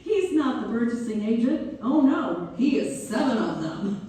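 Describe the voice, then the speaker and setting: A woman playing a gracious, wryly humorous benefactor delivering a measured, playful dedication speech in a staged reading. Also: fairly high pitch, lively intonation, mellow. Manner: measured, deliberate, playful oratory. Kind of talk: theatrical read-through of a formal speech. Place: theater hall, live staged reading. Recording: echoey hall from far off, faint noise.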